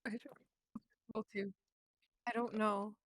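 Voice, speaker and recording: A woman's voice: mid-range voice, level tone, takes it slowly, a bit bright. A woman playing a tabletop roleplaying game, answering in brief, informal phrases. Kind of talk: casual game chat.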